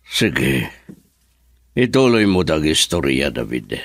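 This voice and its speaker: A middle-aged businessman father in a radio drama, smooth and philandering. His voice is very low and slow, with swooping intonation, recorded close and dry. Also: sing-song, no echo, full character voice. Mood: shaken, serious. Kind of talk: Ilocano radio drama acting.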